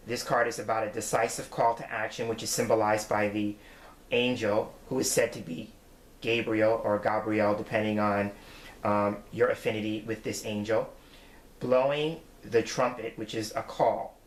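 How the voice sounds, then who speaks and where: A man's voice: fairly low pitch, little pitch movement, moderate pace. A man in his forties, a tarot reader, giving his weekly forecast in an earnest, reflective way as he explains each card. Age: 40s. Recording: roomy echo.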